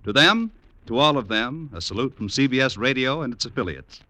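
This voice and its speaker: A man's voice: medium pitch, expressive, brisk, sharp tone, no room sound. A man with an American accent reading scripted 1950s network radio announcements in a formal, polished, emphatic way.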